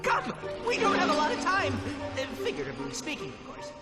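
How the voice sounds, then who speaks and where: A man's voice: very high-pitched, huge pitch swings, quick pace, in a large hall, heavily acted. An actor voicing a fussy, nervous, eager-to-please little male caretaker robot, with game music behind.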